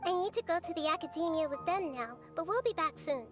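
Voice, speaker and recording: A woman voicing a small, girlish goddess in a video game, soft, composed and polite. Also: very high-pitched, animated, fast talker. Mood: calm. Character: gentle small girlish goddess, calm and wise. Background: game music.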